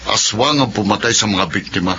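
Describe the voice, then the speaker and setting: An actor with a Filipino accent playing a grave middle-aged village captain in a radio horror drama. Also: male voice, lowish voice, fairly quick, lightly acted. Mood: grave, troubled. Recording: muffled audio, faint noise.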